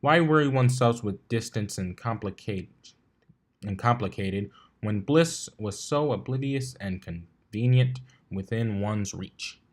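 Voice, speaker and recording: A man reading fiction aloud as a narrator, in an expressive storytelling style. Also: on the low side, a little slow.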